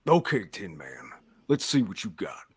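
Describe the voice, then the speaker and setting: A man playing a hulking, crude, taunting mutant brawler in a radio theatre read, brash and jeering with a rough, gravelly voice. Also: deep voice, big pitch swings, measured pace, darkish tone, exaggerated voice.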